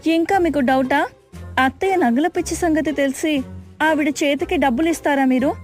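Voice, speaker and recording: A woman's voice: high voice, normal speed, lightly acted. A woman playing a sensible young daughter-in-law in a moral story, polite yet reproachful, with music behind her. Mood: exasperated. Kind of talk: acted moral story voice-over.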